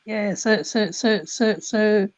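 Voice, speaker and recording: A woman's voice: medium pitch, slow, no room sound. A woman from Trinidad, chatting casually and rambling with many restarts and fillers. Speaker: host. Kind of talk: casual chat. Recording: dull lo-fi sound.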